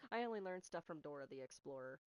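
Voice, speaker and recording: A woman's voice: fairly low pitch, expressive, normal speed, dry recording. A young woman who grew up speaking Spanish chats casually while playing a game. She has a stutter and rambles, switching between talking to her viewers and reacting to the game. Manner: rambling, talks to chat and to the game at once. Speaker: streamer. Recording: game music playing behind.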